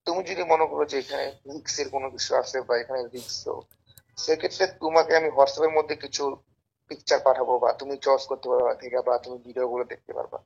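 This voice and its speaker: Fast-talking Bangladeshi man, gravelly and husky. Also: mid-range voice, steady tone, a little mellow, lo-fi sound. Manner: persuasive, pushy, repetitive. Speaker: caller pitching a service as a tout. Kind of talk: informal phone sales pitch.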